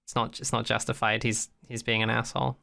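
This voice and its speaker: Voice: mid-range voice, flat delivery, a little fast, creaky, bright, forceful tone. A podcast co-host casually giving critical opinions on a TV show, swearing freely with some dry sarcasm.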